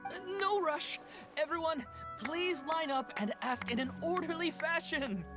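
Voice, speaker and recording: A woman's voice: high voice, a little slow. A woman voicing a polite, cheerful, persuasive organizer in a video game, with a courteous and coaxing way of talking. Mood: welcoming, orderly. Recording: music behind the voice.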